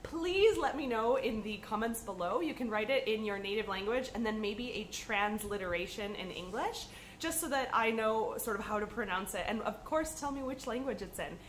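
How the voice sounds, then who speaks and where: A woman's voice: fairly high pitch, lively intonation, normal speed, slight background noise, rough recording. A woman hosting a podcast, chatting casually to camera in an upbeat, rambling way, slightly sniffly from a cold. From United States. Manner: chatty and upbeat with frequent ums. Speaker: podcast host.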